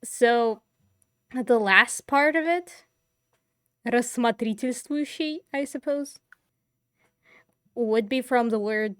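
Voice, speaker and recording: A woman's voice: on the high side, slow, long pauses, fairly bright tone, clean tone, no echo, studio quality. A woman, casually explaining words on a podcast, often giggling. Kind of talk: casual chat.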